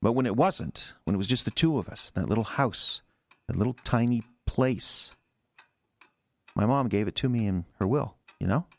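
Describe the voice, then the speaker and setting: An actor in an audio drama playing a resentful, defensive husband who stammers and rambles in a halting, wounded way. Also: male voice, lowish voice, animated. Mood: brooding, resentful. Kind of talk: naturalistic audio drama acting.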